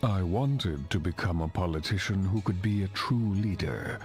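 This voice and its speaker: An actor playing a genial, reflective older political founder in a video game cutscene, speaking in measured, formal storytelling. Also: male voice, low voice, expressive, a little mellow. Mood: genial, musing. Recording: music under the voice.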